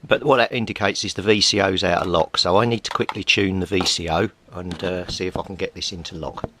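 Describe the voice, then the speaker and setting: Deep-voiced man, informal repair narration. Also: steady tone, brisk, bright, forceful tone. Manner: thinks aloud while working. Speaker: hobbyist radio repairer and video maker. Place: indoor cluttered home workbench. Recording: rumbly background noise.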